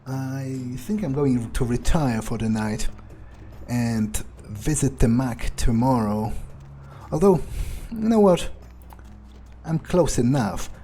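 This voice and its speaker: A man doing in-character gameplay commentary, thinking aloud in a halting, profane, sardonic mutter with a husky voice. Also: mid-range voice, expressive, warm tone, soft background music. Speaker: gamer role-playing his character.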